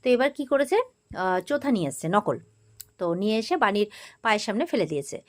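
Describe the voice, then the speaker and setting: A woman's voice: mid-range voice, big pitch swings, brisk. A woman with a West Bengal accent giving a casual, chatty spoken recap of a TV serial, slipping into quoted dialogue as she tells it. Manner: chatty, informal storytelling with quoted exchanges. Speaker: host of a TV serial recap channel.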